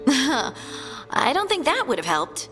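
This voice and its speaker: An actress playing an earnest, curious dwarf scholar in a video game, explaining things thoughtfully. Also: female voice, high-pitched, wildly swooping pitch, slow pace, fairly bright tone, heavily acted. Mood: wry, thoughtful. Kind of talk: video game character acting. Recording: long hall reverb, faint music.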